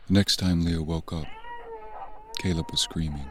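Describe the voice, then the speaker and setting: A man's voice: low voice, steady tone, moderate pace, background noise, close to an everyday voice. A man playing the sombre storyteller, narrating a scripted horror audio drama. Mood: ominous.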